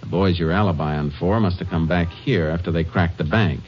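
Stern, shrewd American lawman played by a man, very low and matter-of-fact, old radio crime drama, dulled band-limited sound. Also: normal speed, slight background noise, lightly acted. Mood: stern, pressing. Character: stern, shrewd Texas Ranger lawman and narrator. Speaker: lead actor and narrator. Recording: muffled old radio recording with music.

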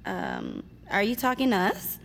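Snippy teen girl, bossy, highly animated, casual, fiction podcast drama, ambient noise behind. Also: a little slow, creaky, full character voice. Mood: puzzled, polite. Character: socially confident, snippy teenage girl.

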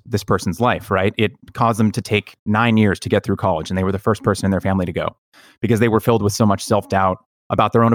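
A man with a fairly low voice talks as a podcast host in a dry, close recording. He is earnest and reflective, telling personal stories with halting pauses. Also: no echo. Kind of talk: personal storytelling in conversation.

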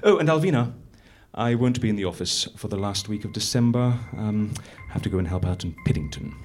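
An actor with a British accent playing an eccentric, busy boss in a live audio comedy, brisk, whimsical and a bit fussy. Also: male voice, on the low side, darkish tone, soft background music, lightly acted. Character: eccentric, busy male boss dictating instructions.

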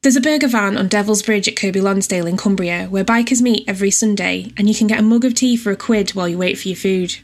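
A woman reads a scripted personal essay in a measured, descriptive storytelling manner. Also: medium pitch. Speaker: writer and editor reading own essay.

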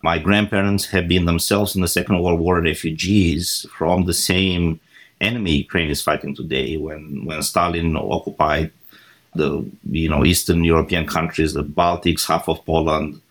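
A man from Romania in his forties speaks as an interview guest. His voice sits very low, and he lays out his reasons in a measured, deliberate way. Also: fairly even intonation. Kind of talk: interview answers.

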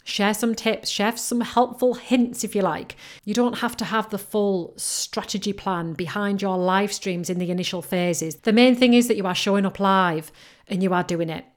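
A woman with a Northern English lilt, somewhere between Yorkshire and Geordie, coaching listeners on her own podcast. Her voice sits at a middling pitch, and she talks in an upbeat, encouraging way, hammering points home by saying them again. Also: steady tone, moderate pace. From North East England coast. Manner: upbeat, encouraging, repeats key phrases. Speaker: podcast host, business coach. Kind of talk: solo podcast advice.

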